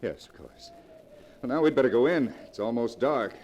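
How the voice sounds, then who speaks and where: An actor playing an earnest, protective husband in a 1940s radio drama, with dramatic delivery. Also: male voice, mid-range voice, lively intonation, normal speed, a little mellow, lightly acted. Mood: somber, measured. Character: painter husband, earnest, solicitous, somber man. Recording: noisy 1940s radio recording.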